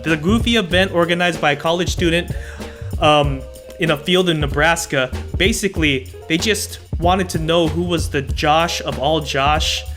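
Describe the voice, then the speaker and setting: High-pitched man hosting a podcast segment. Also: a bit bright. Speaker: podcast host. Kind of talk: upbeat banter, presenting segment stories.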